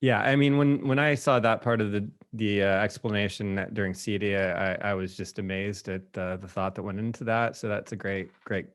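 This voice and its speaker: A man's voice: lowish voice, fairly even intonation, fairly quick, few pauses. A man hosting a podcast interview in a casual, conversational way. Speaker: podcast host, magazine editor.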